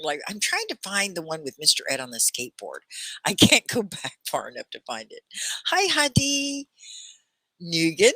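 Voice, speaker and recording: Give me a cheerful American woman teaching English live, with a lowish, lively voice. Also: dry recording. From United States. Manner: cheerful, greets viewers by name, laughs often. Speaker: English teacher and livestream host. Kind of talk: livestream class chat. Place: home studio near Arkansas–Oklahoma border.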